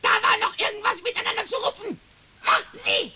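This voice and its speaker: A woman's voice: very high voice, a little fast, pushed and bright, a little hiss or hum, exaggerated voice. A woman voicing a sly, mocking crow with false courtesy in a German radio play. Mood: mocking, threatening.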